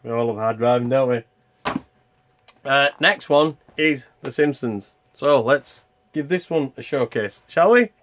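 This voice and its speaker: A man's voice: mid-range voice, a little slow, fairly bright tone. A man giving casual, chatty commentary over gameplay, thinking aloud and asking himself questions as a reviewer. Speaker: video game reviewer.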